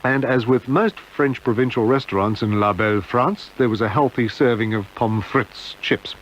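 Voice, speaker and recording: A man's voice: medium pitch, moderate pace, highs cut off, slight background noise. A man reading a scripted radio review in a wry, wordy manner on an old broadcast tape. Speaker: restaurant reviewer.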